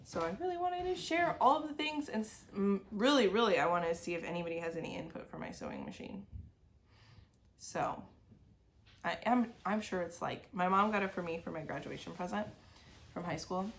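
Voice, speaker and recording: A woman's voice: mid-range voice, sing-song, a little fast, slight background noise. A woman in her thirties, sniffly from a cold, hosting a crafting video podcast from her sewing desk at home. She chats casually and meanders, with lots of "like" fillers. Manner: meandering, talks around things with many "like" fillers. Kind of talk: casual chat.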